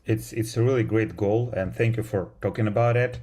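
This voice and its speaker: A man's voice: fairly low pitch, fairly quick, warm tone. A man hosting a science podcast, who reads a scripted introduction and then asks questions with a few fillers. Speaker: podcast host.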